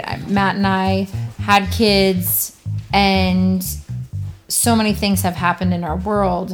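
Woman, mid pitch, upbeat, enthusiastic chat, guitar music behind. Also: a little mellow. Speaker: podcast co-host. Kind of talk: casual faith-based chat.

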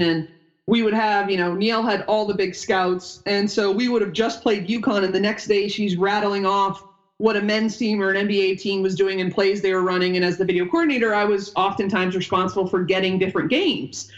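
A woman's voice: mid-range voice, fairly even intonation, fairly quick, muffled recording. A woman in her thirties who grew up in upstate New York, a former basketball player and coach chatting as a podcast guest in a rambling way. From upstate New York, United States. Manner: chatty, rambling, wanders off mid-sentence. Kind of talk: casual podcast interview.

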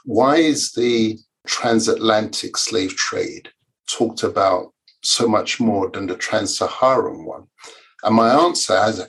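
A Nigerian-born man, an author and historian, explaining history as a podcast guest in a measured, lecturing way. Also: on the low side, a bit bright, husky, rough recording. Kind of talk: conversational explanation.

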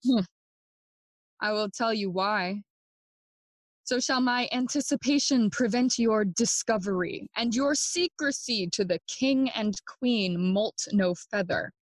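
A slow, sardonic young prince Hamlet. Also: female voice, a little high, dry recording, rough recording. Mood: brooding. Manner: theatrical, mocking, switches between English and Spanish. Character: Prince Hamlet, young man, sardonic, melancholy, feigning madness. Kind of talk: classical Shakespearean stage acting for audio play.

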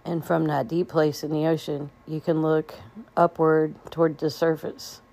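A woman leading a guided meditation in a gentle, soothing way, with unhurried phrasing and pauses. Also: sits low, little pitch movement, creaky.

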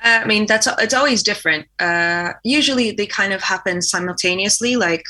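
Bright-voiced woman with an American accent. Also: medium pitch, a little fast. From Poland. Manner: chatty, laughs often, uses "like" a lot. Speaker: guest singer-songwriter. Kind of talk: casual interview chat.

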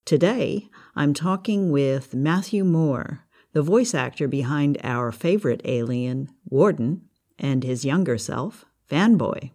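Female podcast host, mid-low pitch, scripted intro, interview questions. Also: expressive, slow pace, warm tone. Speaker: podcast host, writer and producer.

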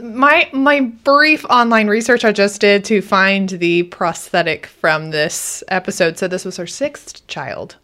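A woman's voice: mid-range voice, unhurried, hardly any pauses, pushed and bright. A woman co-hosting a podcast in casual conversation, often chiming in to question and clarify. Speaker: podcast co-host.

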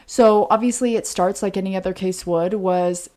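A woman's voice: medium pitch, steady tone, normal speed, warm tone. A woman co-hosting a podcast, telling a true-crime story in a conversational way. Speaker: podcast co-host.

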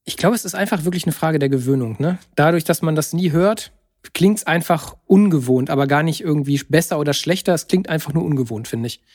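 Higher-voiced man in casual conversation. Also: a little fast, a little mellow. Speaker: podcast co-host.

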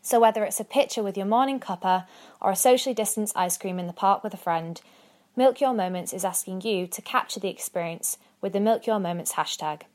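Upbeat woman reading a report. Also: mid-range voice. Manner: bright, upbeat promotional read. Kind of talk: scripted report.

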